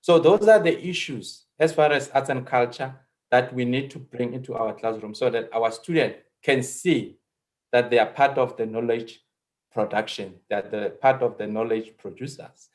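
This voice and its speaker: A man with a South African accent, a native Setswana speaker, speaking as a history professor on a panel in a halting, earnest, emphatic way. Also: mid-range voice, darkish tone. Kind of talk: panel discussion.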